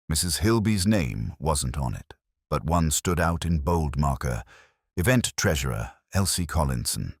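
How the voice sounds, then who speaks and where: A man narrates a scripted mystery in a very low register. His delivery moves expressively up and down, with a smooth, resonant tone and no room sound. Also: a little mellow, no room sound, clean studio sound. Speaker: narrator and audiobook-style reader.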